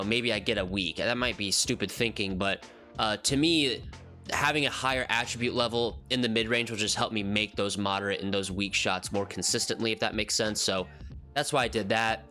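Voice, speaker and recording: A man's voice: fairly low pitch, pushed and bright. A man hosting a gaming channel, casually walking through a build. He sounds nasal from a cold and rambles with frequent ums and restarts. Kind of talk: casual game commentary walkthrough.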